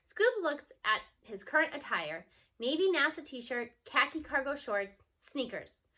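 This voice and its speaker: A woman narrating a children's story aloud in an expressive read-aloud style. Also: high voice, measured pace.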